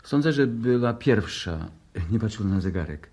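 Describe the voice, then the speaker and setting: An actor playing a reserved, evasive chauffeur who answers tersely and guardedly in a 1960s-style Polish radio drama. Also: male voice, lowish voice, expressive, normal speed, darkish tone. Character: reserved, evasive male chauffeur, war veteran.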